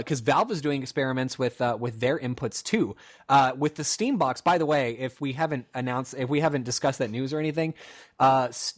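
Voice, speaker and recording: A man co-hosting a casual gaming podcast, speculating excitedly and rambling with lots of fillers. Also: mid-range voice. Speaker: podcast co-host.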